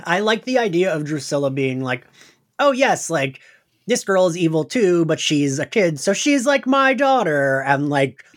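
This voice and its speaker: A man chats as a podcast co-host with a very lively, swooping delivery. His talk is casual and wordy, full of "like", and he often slips into animated quoting. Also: a little high, moderate pace. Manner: chatty, frequent "like", animated quoting. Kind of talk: casual pop-culture podcast chat.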